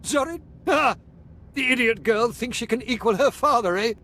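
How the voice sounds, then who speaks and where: A man's voice: very high-pitched, moderate pace, sharp tone. An actor playing a frightened, paranoid old man in a video game, theatrical and panicked in manner. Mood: scornful. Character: frightened, paranoid old man, former advisor to a dark lord.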